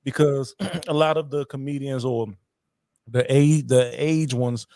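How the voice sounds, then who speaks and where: A man co-hosting a podcast in casual chat, talking things through thoughtfully and clearing his throat now and then. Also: mid-range voice, steady tone, measured pace, a little mellow, dry recording, clean studio sound. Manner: thoughtful, many fillers and restarts, throat-clearing. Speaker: podcast co-host.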